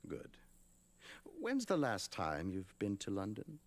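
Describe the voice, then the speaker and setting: An actor playing a composed, secretive professor of dark wizardry in a fantasy audio drama, with a gravelly voice. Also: male voice, on the low side, wildly swooping pitch, slow pace, full character voice. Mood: businesslike.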